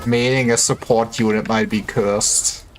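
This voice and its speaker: Low-key wry male game streamer. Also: fairly even intonation, unhurried, a little hiss or hum. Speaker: game livestreamer. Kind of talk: casual gameplay commentary.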